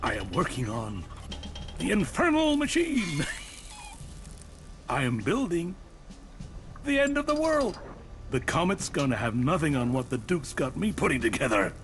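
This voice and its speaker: A man's voice: mid-range voice, animated, a little fast, few pauses, close to an everyday voice. A man playing an eccentric, manic doomsday inventor in a video game, theatrical, gleeful and grandiose.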